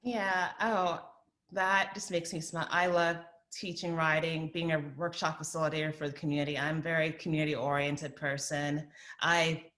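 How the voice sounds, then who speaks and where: A woman's voice: sits low, little pitch movement, fairly bright tone. A woman in her thirties from the American South, speaking thoughtfully at a community forum and answering questions, with frequent fillers. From Southern United States. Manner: thoughtful, many fillers, quick bursts. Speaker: guest speaker. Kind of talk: forum talk and Q&A.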